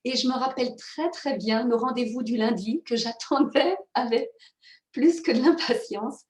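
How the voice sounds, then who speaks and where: A woman's voice: fairly high pitch, moderate pace, darkish tone. A woman talking as a podcast guest, reflective and hesitant, with many fillers. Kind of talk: casual conversational interview. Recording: echoey room.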